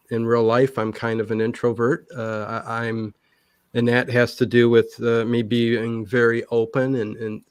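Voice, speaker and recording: A man's voice: mid-range voice, no room sound. A man in a casual interview chat, answering at length and often breaking off to restart his thoughts. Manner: long-winded, halting with many self-interruptions. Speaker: guest, online show creator.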